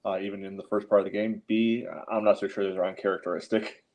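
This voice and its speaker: A man's voice: lowish voice, level tone, fast, darkish tone. A man co-hosting a casual sports debate in a blunt, skeptical, argumentative way, with slightly muffled audio. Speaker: podcast co-host.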